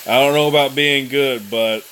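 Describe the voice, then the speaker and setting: A man's voice: medium pitch, steady tone, normal speed, a bit bright, a little hiss or hum. A man in his thirties, hosting a casual live chat and telling stories with a joking, teasing manner. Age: 30s. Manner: joking, storytelling banter. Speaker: channel host.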